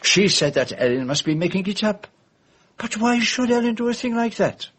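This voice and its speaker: An actor playing a fussy, shrewd foreign gentleman detective, speaking in precise, formal phrases in a radio drama. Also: high-pitched, sing-song, normal speed, slightly rough, exaggerated voice. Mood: dramatic deduction. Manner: precise, theatrical, formal phrasing with French forms of address.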